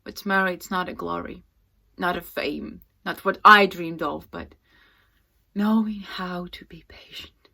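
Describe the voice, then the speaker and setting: A woman playing a fragile, distraught young actress in a dramatic, halting stage monologue. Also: on the low side, exaggerated voice. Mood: quiet resolve. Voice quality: breathy, often dropping to whispers. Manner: halting, intense, swinging from hushed to loud.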